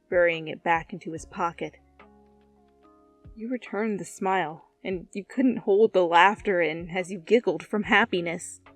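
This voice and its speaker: A woman's voice: mid-range voice, dry recording. Woman narrating a story over music. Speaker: narrator, fan fiction reader. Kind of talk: expressive second-person story narration. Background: background music.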